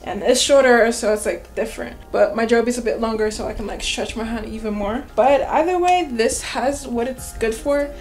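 Young woman vlogging from her room, slightly high voice, says like a lot, music playing under it. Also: moderate pace, few pauses. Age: young adult. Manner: chatty, loose, many "like" and "you know" fillers. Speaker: student vlogger. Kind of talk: casual vlog talk to camera. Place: small bedroom and back seat of a taxi. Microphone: handheld camera on a small tripod at arm's length.

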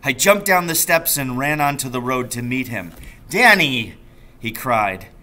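A man's voice: mid-range voice, moderate pace. A middle-aged man reading a children's story aloud expressively, like a teacher, in a small room at a desk. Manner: expressive reading, calls out the dialogue. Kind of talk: storybook read-aloud narration. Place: small office or classroom indoors.

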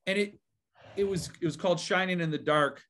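A man hosting a book channel interview, enthusiastic and halting, full of fillers and restarts. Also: sits high, a bit bright, a little hiss or hum. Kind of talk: casual interview conversation.

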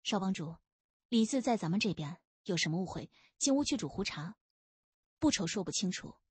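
A tactful gang deputy read by a woman audiobook narrator, soft-spoken and deferential. The voice is husky and the recording dry. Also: medium pitch, expressive, normal speed, dry recording. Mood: placating.

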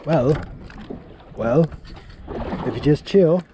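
Excitable man fishing off a boat. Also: fairly high pitch, drawn-out words. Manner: excitable, cheers and shouts "bam" at catches. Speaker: angler vlogger. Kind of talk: excited casual fishing commentary. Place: small open boat at sea. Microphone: head-mounted action camera. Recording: loud wind and boat noise.

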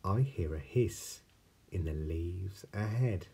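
A huge, gullible beast from a children's storybook, played by a man. It sounds husky and hungry, with emphatic lines. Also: deep voice, animated, slow pace, dark tone, exaggerated voice. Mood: wary.

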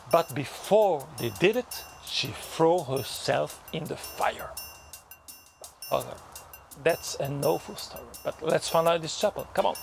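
A Belgian-accented man in his fifties talks slowly and unhurriedly, in a slightly raised voice. He clowns his way through a forest walk with playful, mock-dramatic storytelling. He speaks to a handheld camera outdoors, with faint music underneath. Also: on the high side, vocal fry. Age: 50s. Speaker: travel vlogger. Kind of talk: casual vlog to camera.

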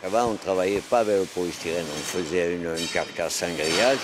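Deep-voiced older man in a busy workshop. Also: fairly quick. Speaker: carnival float builder. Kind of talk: casual interview. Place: large workshop hangar. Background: workshop noise.

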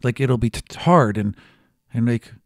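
A man voicing an anxious, eager, shy young bird-person who stammers and rambles haltingly. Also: mid-range voice, gravelly, no echo, studio quality, lightly acted.

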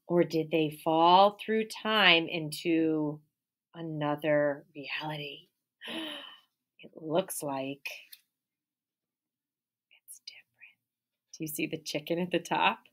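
A woman in her thirties, a teacher reading a picture book aloud to children, animated, with gasps and questions to her young listeners, recorded on a close webcam in a classroom. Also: deep voice. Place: classroom or office with window and colorful wall hanging. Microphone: webcam at close range.

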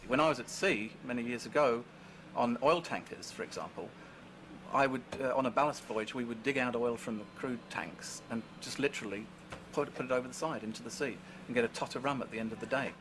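A man's voice: mid-range voice, fairly quick, noisy background. Middle-aged man recalling years at sea. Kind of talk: interview.

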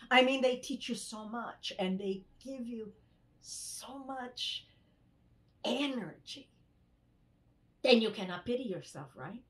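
An older woman playing an earnest survivor and human rights activist in a dramatized testimony, speaking expressively in halting phrases. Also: fairly high pitch, animated. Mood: earnest, uplifted. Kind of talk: dramatized first-person testimony monologue. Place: indoors in a home room, on a video call.

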